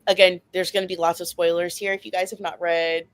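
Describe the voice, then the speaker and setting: A woman's voice: lowish voice, little pitch movement, a little fast, no echo. A woman in a casual book chat, speaking with enthusiasm and emphasis and peppering her talk with filler words like "like" and "you know". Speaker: book discussion panelist.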